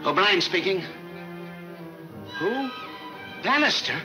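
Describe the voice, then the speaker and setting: An actor playing a brusque, excitable, blustery police chief in 1940s film serial style, on an old soundtrack. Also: male voice, high voice, takes it slowly, over music, full character voice. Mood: brisk.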